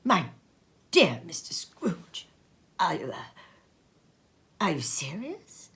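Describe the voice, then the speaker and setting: Woman doing a stammering portly old gentleman. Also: lowish voice, wildly swooping pitch, takes it slowly, full character voice. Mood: flustered. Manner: stammering, breathless whisper.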